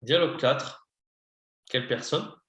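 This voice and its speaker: A male language teacher speaks slowly and patiently, with an unhurried pace that gives a beginner time to follow. His voice sits at an ordinary pitch. The room around him rings with a noticeable echo. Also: fairly bright tone. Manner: patient, slow, coaching a beginner. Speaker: French teacher. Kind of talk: online lesson, mixing English and French.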